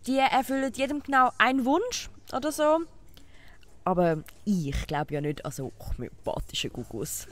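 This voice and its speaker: A woman's voice: fairly high pitch, lively intonation, normal speed, lightly acted. Woman playing a chatty Swiss German tavern keeper. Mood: confiding. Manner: no-nonsense, gossipy. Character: brisk, chatty female village tavern keeper. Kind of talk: comic audio drama acting.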